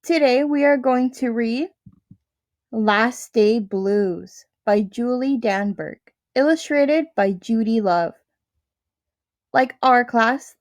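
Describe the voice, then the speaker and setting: A woman teacher addresses her first-grade class in a slow, careful, tender way. Her voice sits a little high, and the recording is dry and close, with no room sound. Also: no echo. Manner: slow, careful, warm-hearted delivery to young kids.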